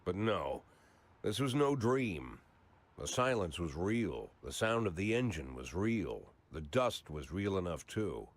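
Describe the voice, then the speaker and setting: Very deep, world-weary cop. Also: male voice, very animated, slow pace, exaggerated voice. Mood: weary narration. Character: weary hard-drinking older male cop, sardonic noir narrator. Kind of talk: scripted noir narration and dialogue.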